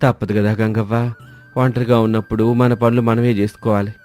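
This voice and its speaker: An actor playing a kind, stubborn village man who lives alone, speaking gently and reassuringly. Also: on the low side, little pitch movement, a little fast, faint music behind, natural voice.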